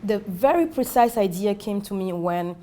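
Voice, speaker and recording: A woman's voice: mid-range voice, animated, normal speed, mellow, slight background noise. A woman in her twenties from Senegal, speaking earnestly in a documentary interview in a clothing shop, often restarting her sentences. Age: 20s. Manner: earnest, self-correcting, many restarts. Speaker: entrepreneur.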